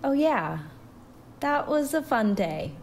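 A thoughtful, anxious growing girl in a storybook tale, voiced by a woman narrator. She is quiet and hesitant, feeling her way slowly through each sentence, over a faintly noisy recording. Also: sits high, big pitch swings, voice pushed up, full character voice. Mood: quiet, evasive.

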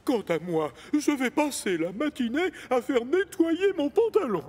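A man's voice: extremely high pitch, big pitch swings, unhurried, a little mellow, breathy edge, heavily acted. A man playing a pompous, easily exasperated middle-aged railway controller in a cartoon, speaking indignantly. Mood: indignant dismay. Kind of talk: cartoon dub acting.

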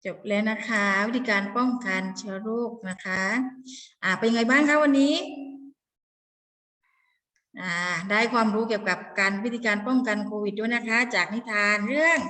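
A woman's voice: on the high side, moderate pace, sharp tone. A woman teaching young children in an interactive storytime lesson, encouraging them with simple repeated questions. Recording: distant mic in echoey hall.

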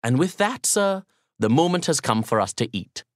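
A man's voice: a little high, very animated, moderate pace, dry recording, studio quality, pitched above the performer's own voice. A man playing an elaborately courteous young host. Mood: polite, reassuring. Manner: formal, elaborately polite, reassuring. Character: courteous, talkative young Pakistani man hosting a foreign guest.

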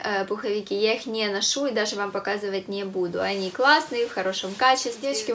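A woman's voice: medium pitch, slight background noise. A young woman vlogging casually to camera in a small room, with a stuffy nose from a cold, talking chattily with many hesitation sounds. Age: young adult. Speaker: fashion vlogger. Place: small room with a clothes rack, indoors.

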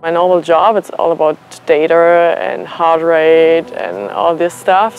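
A woman's voice: low-pitched, darkish tone, low-quality recording. Woman rider, easy plain talk, bit of noise around. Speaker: cyclist. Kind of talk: interview.

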